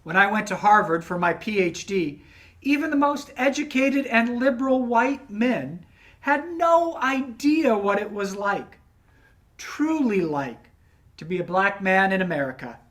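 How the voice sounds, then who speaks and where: Elderly male scholar played by a man, dignified, formal, deliberate phrasing, grave historical stage monologue. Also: high voice, expressive, a bit bright. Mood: grave, earnest. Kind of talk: dramatic reading of historical monologue.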